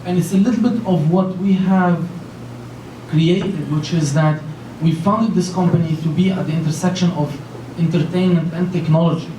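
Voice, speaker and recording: A man from Lebanon talks on a panel, earnest and rambling, with lots of fillers and restarts. His voice sits a little high but sounds mellow. He is picked up from some distance in a roomy, echoing hall with a faint hiss behind him. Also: fairly even intonation, moderate pace. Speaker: panelist, company founder. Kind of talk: panel talk. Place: large conference room with an audience. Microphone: handheld mic. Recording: echoey room from far off, faint noise.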